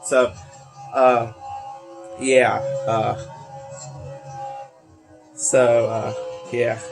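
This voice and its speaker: A teenage man, congested from allergies, rambling with many fillers while commenting on a racing game. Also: fairly high pitch. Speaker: gaming video creator.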